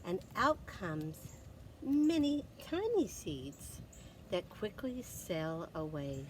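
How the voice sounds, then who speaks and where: A woman reads a children's story aloud in a lively, swooping voice. She takes her time, stretching phrases and pausing for dramatic effect. A little background noise sits behind her. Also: lowish voice. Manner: expressive, dramatic pauses, gasps and exclamations for effect. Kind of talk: animated read-aloud for young children.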